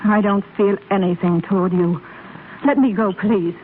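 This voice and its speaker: A woman's voice: medium pitch, normal speed, slight background noise, lightly acted. Casting: female actor as guarded, exasperated widow, polite and proud, narrow-band 1930s broadcast sound. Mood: cold, hurt. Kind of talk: 1930s American radio drama acting.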